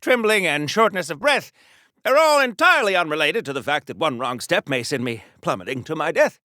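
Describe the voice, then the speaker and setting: A high, bright, pompous patriotic hero. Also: male voice, dry recording, clean studio sound, full character voice. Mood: nervous, blustering. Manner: theatrical, grandiloquent declaiming. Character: pompous, earnest golden-age patriotic comic-book hero. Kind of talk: old-time heroic serial acting.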